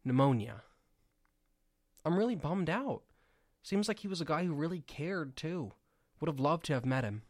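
A man's voice: on the high side, expressive, moderate pace, long pauses, dry recording, studio quality. A man playing a nerdy, earnest field researcher rambling into an audio log in a drama, with insects behind him. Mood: wistful, musing. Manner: rambling, hesitant, exclaiming.

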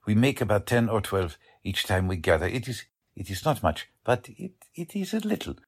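A man playing a humble, kindly immigrant baker in a radio drama, with a halting, gentle way of repeating himself. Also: lowish voice, sing-song, husky, heavily acted. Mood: humble, earnest. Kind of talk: old-time radio drama acting.

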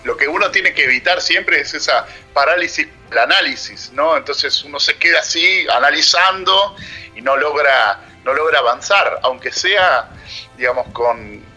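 A man hosting a radio talk show, reflecting in a thoughtful, halting way with many fillers. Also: on the high side, bright, forceful tone, breathy edge, faint music behind. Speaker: radio host.

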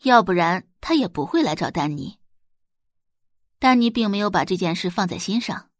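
Expressive female audiobook narrator. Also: medium pitch, normal speed, no room sound, clean studio sound.

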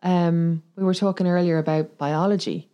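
A woman's voice: on the low side, steady tone, moderate pace, clean tone, dull audio. A woman co-hosting a podcast in casual conversation, informal and questioning in her way of talking. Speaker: podcast co-host.

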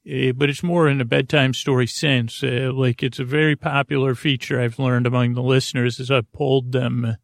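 A man rambles through a bedtime podcast intro in soft, gravelly tones. He drifts from point to point and pokes fun at himself. The sound is dry and close. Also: medium pitch, flat delivery, normal speed, few pauses, dry recording. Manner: rambling, easily sidetracked, self-mocking. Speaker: podcast host.

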